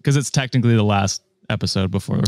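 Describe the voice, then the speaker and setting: A man co-hosting a podcast in casual chat, with a monotone voice, self-deprecating and stammering a little. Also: fairly low pitch, fast, no echo. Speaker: podcast co-host reading reviews.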